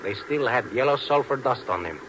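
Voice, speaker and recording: A man's voice: medium pitch, animated, a little fast, natural voice. Man playing a hot-headed engineer, swooping delivery. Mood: earnest, defensive. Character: hot-headed, impulsive Latin American construction engineer. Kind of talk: 1950s radio drama acting. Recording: muffled, noisy 1950s radio recording.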